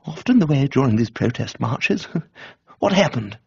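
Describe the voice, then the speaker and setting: A man voicing an amiable, foppish young gentleman with an upper-class English accent, chirpy and easily flustered, in a comic reading. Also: mid-range voice, big pitch swings, normal speed, creaky, husky, muffled recording, exaggerated voice. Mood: curious, breezy. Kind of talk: dramatised comic audiobook reading.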